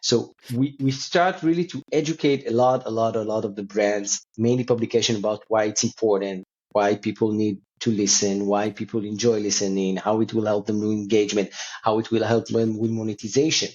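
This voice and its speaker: A man's voice: lowish voice, fairly even intonation, fairly quick, a little mellow. A man speaking as a company founder being interviewed, answering conversationally with non-native English phrasing. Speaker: company co-founder and CEO, podcast guest. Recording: slightly dull, band-limited audio.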